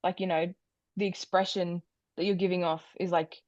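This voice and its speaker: A woman hosting a casual podcast interview, halting and full of fillers as she frames her questions. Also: fairly low pitch, level tone, darkish tone, dry recording, highs cut off. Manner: halting, filler-heavy, giggly. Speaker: podcast host.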